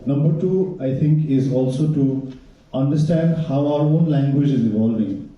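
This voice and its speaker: A man's voice: mid-range voice, moderate pace. A man whose mother tongue is Nepali, speaking on a panel with many hesitations and restarts. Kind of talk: panel discussion. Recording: echoey hall from far off, dull sound.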